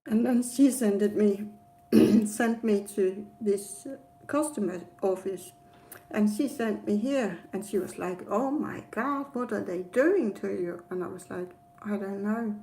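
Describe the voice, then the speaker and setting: A woman with a Danish accent chats casually about her own experiences at a slow, halting pace. Her voice is soft-edged and mellow, and she rambles with frequent pauses. There is a faint noise behind her. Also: mid-range voice, rough recording. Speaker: travel vlogger. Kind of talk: casual personal storytelling to camera.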